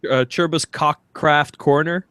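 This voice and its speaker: A man's voice: fairly high pitch, fairly even intonation, a little slow, sharp tone, smooth, no room sound. A man co-hosting a podcast in casual comedic banter, speaking in a somewhat hesitant, stammering way. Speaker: podcast co-host.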